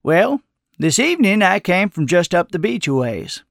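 A man voicing a kindly, calm old wanderer with an unhurried, soothing, folksy way of talking, as character dialogue in an audiobook. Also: high voice, gravelly, no room sound, studio quality, voice pushed up, exaggerated voice. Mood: genial, teasing.

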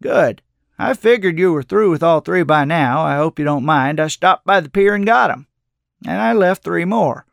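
A man's voice: a little high, expressive, moderate pace, vocal fry, dry recording, studio quality. A man voicing a kindly old wandering mentor with a playful, sage-like, teasing manner in an audiobook.

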